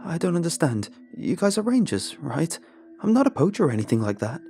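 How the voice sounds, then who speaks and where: A man's voice: a little high, very animated, brisk, creaky, dark tone, soft background music, voice pushed up. A man voicing an anxious lost hiker in a horror story, stammering and pleading nervously. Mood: nervous, alarmed. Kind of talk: dramatic horror audiobook character.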